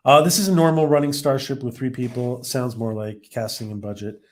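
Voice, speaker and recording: A man's voice: medium pitch, fairly even intonation. Rambling man with a fading voice. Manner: rambling, halting, many fillers and restarts. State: losing his voice. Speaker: live-stream host reviewing a TV episode. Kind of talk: casual live-stream chat reading viewer comments.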